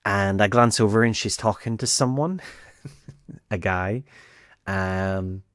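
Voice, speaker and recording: A man's voice: on the low side, slow pace, fairly bright tone, no room sound, studio quality. A man with a Northern Irish accent telling a personal story casually, in a conversational way, acting out bits of dialogue. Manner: conversational, acts out quoted dialogue.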